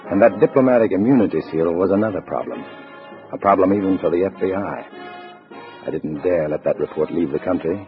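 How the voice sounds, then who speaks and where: An actor with an American accent playing a cool undercover agent in a 1950s radio drama, narrating in a clipped, wry way. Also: male voice, low-pitched. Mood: tense, brooding. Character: cool undercover agent narrating his double life as communist. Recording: dull vintage radio, music behind.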